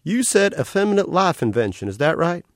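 A man voicing a cheerful electronic male otter, a carnival-bright official with a sing-song, salesman's cheer. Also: a little high, very animated, a little fast, dry recording, clean studio sound, voice pushed up. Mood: chirpy. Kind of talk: audiobook character voice.

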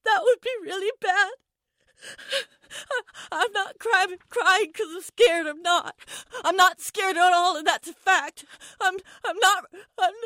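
A scared, stubborn little schoolgirl, played by a woman, in a dramatic monologue. Her voice is high and sharp, recorded close and dry. She stumbles and repeats herself, covering fear with crude bravado. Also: no echo, exaggerated voice. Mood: tearful, panicked. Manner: stammering, repeating herself, foul-mouthed bravado. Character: frightened, defiant young schoolgirl trapped in a car trunk. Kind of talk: dramatic first-person monologue.

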